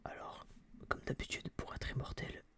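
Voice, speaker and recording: A young man whispering close to a binaural microphone in a casual, rambling, blunt ASMR review style, with a breathy, unvoiced voice. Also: moderate pace, sharp tone. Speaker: ASMR channel host reviewing a film.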